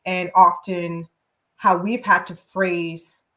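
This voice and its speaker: Lower-pitched woman panelist, earnest. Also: level tone, slow, fairly bright tone, low-quality recording. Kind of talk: conversational panel discussion.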